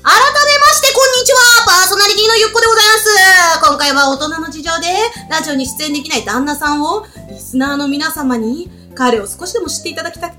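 A woman with a high voice hosts a casual radio chat. She laughs often as she talks, and music plays clearly behind her. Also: fast, hardly any pauses, pushed and bright. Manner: laughs often while talking. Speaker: radio show host. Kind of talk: casual group radio talk. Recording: background music under the talk.

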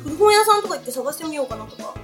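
A woman hosting a casual chat among friends, with expressive, giggly reactions. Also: high-pitched, very fast. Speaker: radio show host. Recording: background music under the voice. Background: background music.

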